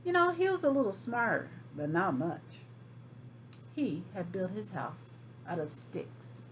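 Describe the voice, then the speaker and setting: A woman's voice: mid-range voice, very animated, slow pace, slight background noise, voice pushed down, poor audio. A woman reading a storybook aloud as a folksy wolf, chatty and dramatic, excusing himself with feigned innocence. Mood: sly, matter-of-fact. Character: folksy, self-excusing wolf telling his side. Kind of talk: storybook read aloud to children.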